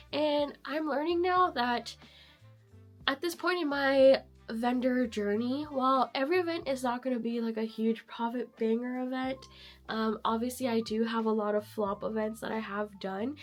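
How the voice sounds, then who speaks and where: Upbeat woman vlogger with a high, hoarse sick voice, chatting casually to camera. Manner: chatty, upbeat, frequent fillers. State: hoarse, sick and losing her voice. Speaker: vlogger and artist vendor. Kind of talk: casual vlog chat to camera.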